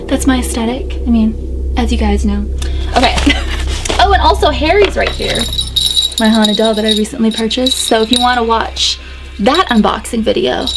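Excitable young woman, voice going hoarse. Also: on the high side. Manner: excitable, chatty, gasps and laughs a lot. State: losing her voice, a bit hoarse. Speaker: YouTuber. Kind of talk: casual unboxing vlog. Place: small bedroom decorated with dolls.

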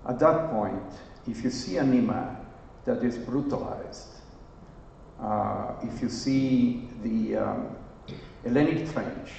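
An older man from Italy, a marine scientist, speaking passionately on a conference stage, with rhetorical questions and many filler pauses. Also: mid-range voice, vocal fry, dark tone, a little hiss or hum. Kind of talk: onstage dialogue, impassioned. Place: large hotel conference hall, on stage. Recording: distant, echoey hall, dull sound.

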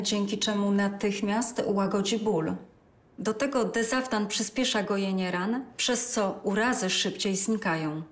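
A woman in her forties playing a dentist, giving a calm, confident recommendation in a dental clinic. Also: medium pitch. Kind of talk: scripted ad testimonial.

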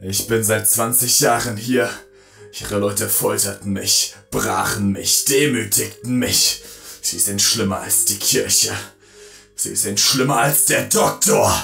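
A man playing a bitter, enslaved robot with a measured, accusing manner in a scripted audio drama. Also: fairly low pitch, fairly even intonation, gravelly, sharp tone, soft background music, heavily acted. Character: bitter, enslaved male robot turning vengeful.

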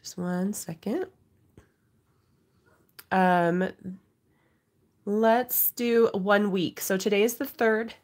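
A woman's voice: mid-range voice, fairly even intonation, drawn-out words, pauses often. A woman in her thirties hosting a casual live craft unboxing, stuffy from a cold and coughing now and then, chatty and apologetic. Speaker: crafting livestream host. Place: home craft desk.

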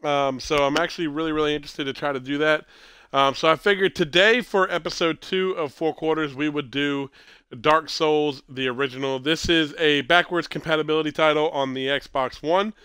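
A man in his thirties hosting a casual gameplay stream, stuffy with a cold and clearing his throat, rambling and correcting himself as he talks. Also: a little high, steady tone, normal speed, sharp tone, no echo. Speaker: streamer host.

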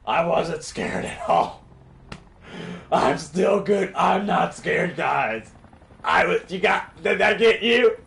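A man voicing a boastful, crude, dim-witted parody Batman in comic game commentary, with a forced gravelly voice that breaks into shrill yells. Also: lively intonation, slow pace, long pauses, heavily acted. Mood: defensive boasting. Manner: bragging, sweary, childish, theatrical. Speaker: gaming video performer in character. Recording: roomy echo with light background noise.

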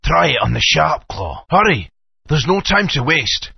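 An actor playing a male guide who gives urgent instructions in a raspy voice. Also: a little high, sing-song, a little slow, full character voice. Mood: instructive. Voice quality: raspy, gravelly. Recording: dull lo-fi game audio.

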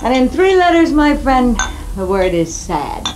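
A woman's voice: high voice, big pitch swings, a little slow. An actress playing a tough, jaded woman in 1950 radio noir, sardonic, brusque and slangy. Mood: bitter. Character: jaded, hard-drinking, tough dame carrying a torch. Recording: noisy old radio broadcast.

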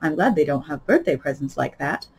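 A woman voicing a polite, sensible young girl in a storybook, careful, matter-of-fact and quietly opinionated. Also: low-pitched, expressive, brisk, a little hiss or hum. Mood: polite, doubtful.

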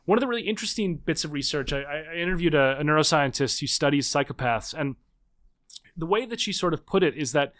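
Fast-talking man with frequent restarts. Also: on the high side, expressive, a bit bright. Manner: quick, many restarts and repeated words. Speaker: podcast guest, author. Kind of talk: conversational interview.

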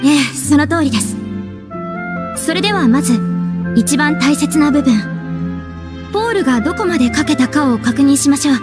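A woman's voice: high-pitched, lively intonation, normal speed, pauses often. An actress playing a polite, organised young woman of a theatre troupe in a video game, explaining things in order. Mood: earnest, composed. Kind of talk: Japanese video game voice acting. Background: music.

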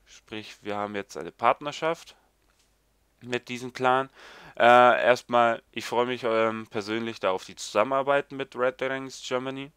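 A man's voice: medium pitch, fairly even intonation, moderate pace, fairly bright tone, clear, resonant tone, no room sound, studio quality. A young man hosting a gaming site's video, slightly congested from a cold, talking casually and rambling with lots of fillers. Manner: rambling, many fillers like quasi and sprich. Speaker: gaming site video host. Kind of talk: casual announcement over desktop screen. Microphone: desktop mic, voice-over of screen capture.

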